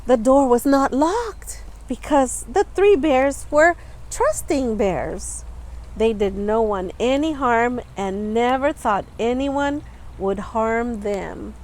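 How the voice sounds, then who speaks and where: A woman reading a children's picture book aloud, expressively and deliberately, stressing words for young listeners. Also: fairly high pitch, a little mellow, low-quality recording. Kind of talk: children's storybook read-aloud with asides about the pictures.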